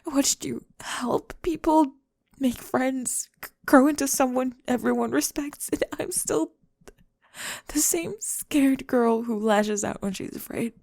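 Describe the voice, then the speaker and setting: A woman playing a remorseful, vulnerable young woman in an intimate emotional ASMR roleplay, speaking haltingly and tenderly in a breathy, often whispered voice, close to the mic. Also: high-pitched, a little mellow, dry recording, full character voice. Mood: tearful, apologetic. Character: remorseful, vulnerable young woman confessing to someone she rejected. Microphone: close, dry microphone.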